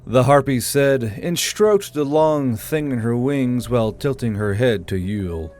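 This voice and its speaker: A man's voice: mid-range voice, expressive, a little slow, a little mellow. A man reading scripted fantasy fiction as an audiobook narrator. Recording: background music under the voice.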